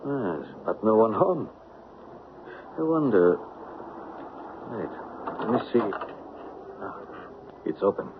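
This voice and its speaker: An actor playing a sly, evasive French spy posing as an ally in an old radio mystery, suave and theatrical. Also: male voice, lowish voice, big pitch swings, slow, pauses often. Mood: wary. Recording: tinny, noisy old radio recording.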